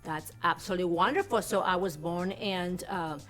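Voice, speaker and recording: A woman's voice: fairly low pitch, moderate pace, a bit bright, soft background music. A woman from Mexico hosting a studio TV interview, gushing with effusive praise for her guest. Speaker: TV host.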